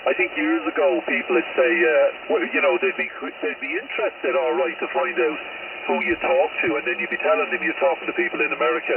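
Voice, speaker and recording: A man's voice: a little high, sing-song, quick pace, vocal fry, far from the mic. A middle-aged man with an Irish accent chatting casually over the radio in a conversational way full of little asides. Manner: chatty, frequent "you know" asides. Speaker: amateur radio operator. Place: out on a high hill spot. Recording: crackly narrow-band radio link, faint noise.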